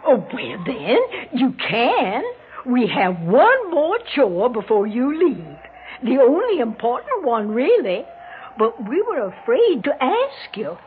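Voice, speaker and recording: An actress in her eighties playing a genteel, gently fussy, affectionate old widowed mother in a 1960s radio drama. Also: fairly high pitch, wildly swooping pitch, heavily acted. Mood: composed. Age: 80s. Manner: unhurried, gently fussy, theatrical. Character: genteel, calm, affectionate, slightly dotty elderly widowed mother. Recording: muffled, noisy 1960s radio recording.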